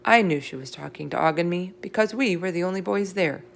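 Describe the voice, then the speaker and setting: A woman's voice: on the low side, brisk, vocal fry. A woman reading a children's storybook aloud as narrator. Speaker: read-aloud reader. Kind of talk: children's book read-aloud, character voices in dialogue.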